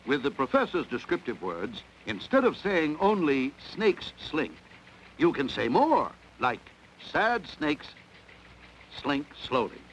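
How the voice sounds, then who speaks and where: An actor playing a solemn television news announcer who delivers a formal bulletin in a cartoon. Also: male voice, fairly high pitch, huge pitch swings, slow pace, muffled recording, slight background noise, full character voice. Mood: solemn announcing.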